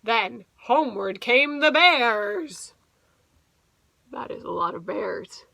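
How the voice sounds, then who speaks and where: A woman's voice: a little high, lively intonation, takes it slowly, creaky, sharp tone, no room sound. A woman teacher reading a picture book aloud to young children, expressively and with little explanatory asides.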